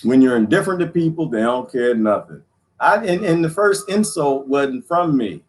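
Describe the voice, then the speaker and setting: A man hosting a casual livestream, rambling and joking with viewers, laughing often, his voice going hoarse. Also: mid-range voice, animated, moderate pace. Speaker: livestream host.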